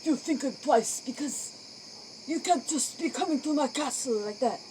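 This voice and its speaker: A woman's voice: high voice, a little slow, darkish tone. A woman in an improvised comic role-play, speaking broken English. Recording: faint noise, crickets behind.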